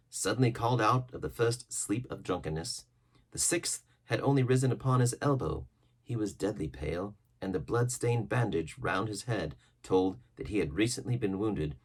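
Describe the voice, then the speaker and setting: A man reading an adventure story as a narrator, dramatic and expressive, with deliberate phrasing. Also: on the low side, breathy edge. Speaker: audiobook narrator.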